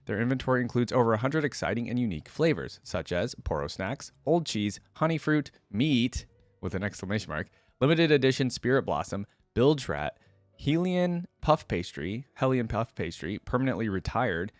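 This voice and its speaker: A man hosting a reaction video in an informal way, reading passages aloud and pausing to comment on them. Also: mid-range voice, big pitch swings, no echo, soft background music.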